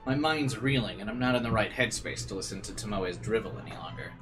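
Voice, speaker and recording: A man with a fairly low voice reads visual novel narration aloud as a let's player. He mixes dramatic reading with casual commentary, and faint game music sits behind him. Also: fast.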